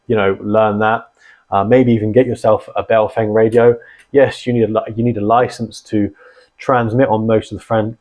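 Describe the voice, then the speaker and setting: A man hosting a casual explainer on radio gear, rambling and filling pauses with ums and restarts. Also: lowish voice. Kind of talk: casual explanatory vlog talk. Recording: dull lo-fi sound.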